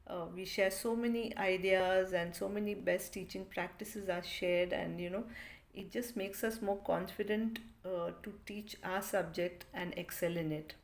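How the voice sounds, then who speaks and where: A woman's voice: lowish voice, fairly even intonation, unhurried. A woman French teacher giving an appreciative testimonial with frequent fillers. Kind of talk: recorded testimonial.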